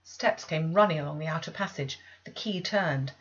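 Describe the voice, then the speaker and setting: A woman's voice: sits low, moderate pace, breathy edge. A woman reading a classic novel aloud as literary first-person narration, in a dull, lo-fi recording. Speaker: audiobook reader.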